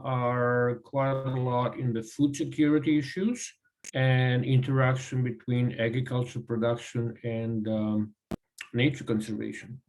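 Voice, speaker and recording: A man's voice: mid-range voice, steady tone, darkish tone, poor audio. Croatian-accented man giving an online talk. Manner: halting, with frequent ums and uhs. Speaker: guest speaker. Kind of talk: online presentation. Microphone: video call.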